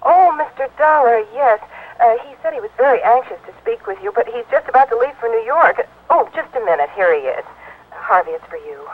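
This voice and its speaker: An actress playing a polite, flustered wife, fluttery, heard through a telephone in a 1950s radio drama. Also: female voice, fairly high pitch, expressive, brisk. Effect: telephone filter. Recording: tinny phone line, old radio recording.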